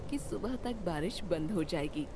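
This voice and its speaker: A woman's voice: fairly low pitch, animated, normal speed, heavy background noise. A woman giving scripted narration of a children's moral tale.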